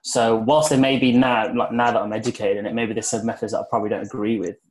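A man's voice: on the low side, little pitch movement, quick pace, a little mellow, low-quality recording. A man in casual discussion, working out his opinion aloud with hesitations and repeated phrases.

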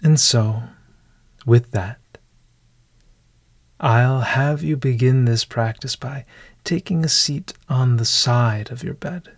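A man narrating a scripted bedtime meditation as a podcast host, in a hushed, deliberate manner with long pauses. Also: mid-range voice, no room sound.

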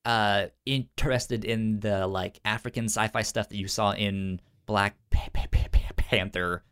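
A man co-hosting a casual podcast, speaking informally and haltingly with a stutter that repeats sounds and syllables. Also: on the low side, steady tone, fairly bright tone, clean tone. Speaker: podcast co-host.

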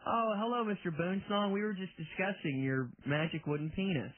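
A man hosting a comic talk radio show and playing the dry, deadpan straight man in the banter. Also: high-pitched, brisk, background noise. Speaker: radio host. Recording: phone-like lo-fi radio broadcast.

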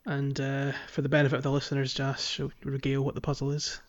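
A man's voice: mid-range voice, completely flat delivery, fast talker, no echo, studio quality. A man co-hosting a gaming podcast in casual conversation, recorded on a slightly muffled microphone. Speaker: podcast co-host.

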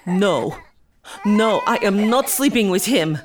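Lively, defensive young wife. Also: female voice, medium pitch, takes it slowly, background noise. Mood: indignant. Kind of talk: audio drama acting.

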